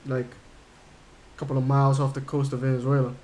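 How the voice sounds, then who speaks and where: A man's voice: medium pitch, completely flat delivery, normal speed, darkish tone, slight background noise. A man from Curaçao streaming a game, chatting casually and answering his chat in a rambling way. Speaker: gaming streamer.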